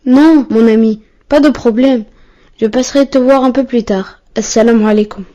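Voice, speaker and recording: A woman's voice: on the high side, moderate pace, dark tone, natural voice. A woman voicing a sincere, pious young boy in a cartoon, asking questions, on dull lo-fi audio. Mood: earnest.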